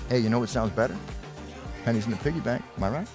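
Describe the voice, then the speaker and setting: A man's voice: medium pitch, fast, lightly acted. A man voicing an eager, slick American sports agent with an emphatic salesman's manner, music behind him. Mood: hyped. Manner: salesman-like, emphatic, repeats himself.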